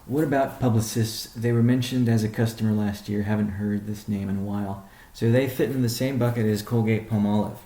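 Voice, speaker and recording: Low-voiced guy, mellow and halting, ceo fielding questions on a conference call, roomy echo. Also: steady tone. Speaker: company chief executive on a conference call. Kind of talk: answering read-out questions on a business conference call.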